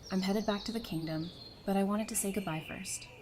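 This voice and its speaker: Woman playing friendly survivor peacemaker, audio drama, earnest conversational delivery, medium pitch, noticeable outdoor noise. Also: a little fast, close to an everyday voice. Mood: concerned, fond. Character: friendly, level-headed female survivor, peacemaker.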